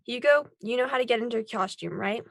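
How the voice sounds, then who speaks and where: A woman playing a brisk, commanding superhero team leader in an amateur table read. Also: fairly high pitch, creaky, a bit bright. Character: Rory, bossy no-nonsense female werewolf superhero team leader.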